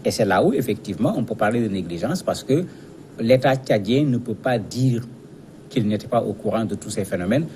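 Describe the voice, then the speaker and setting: A man's voice: lowish voice, dark tone. Man explaining as analyst, faint background noise. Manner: didactic, repeats words as they search for phrasing. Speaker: guest analyst. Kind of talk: explanatory commentary in a TV news interview.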